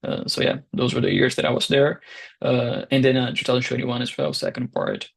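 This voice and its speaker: A young man in his twenties from Brazil, a Portuguese speaker, answering interview questions in a hesitant way with many pauses and restarts. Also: medium pitch, gravelly, husky. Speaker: guest founder.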